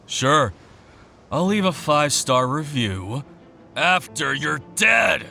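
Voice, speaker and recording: A man's voice: fairly high pitch, sing-song, slow, creaky, sharp tone, soft background music, exaggerated voice. A man voicing a menacing male bandit boss who talks in curt, suspicious, threatening lines. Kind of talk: video game voice acting.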